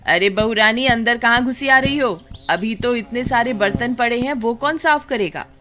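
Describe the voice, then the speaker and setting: A woman's voice: a little high, normal speed, pushed and bright. A woman playing a cruel, greedy older village mother-in-law in a cartoon story, commanding and sarcastic. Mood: scolding, demanding. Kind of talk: Hindi animated horror story acting.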